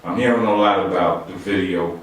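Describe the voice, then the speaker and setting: Deep-voiced male radio host, echoey studio. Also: moderate pace. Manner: relaxed, conversational, some fillers. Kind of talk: radio interview hosting. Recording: very echoey room, distant mic, faint noise.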